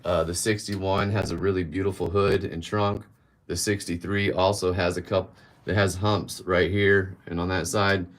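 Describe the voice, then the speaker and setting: A man around fifty hosting a casual live-stream Q&A, candid and blunt, rambling and swearing casually. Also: low voice. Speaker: livestream host answering viewer questions.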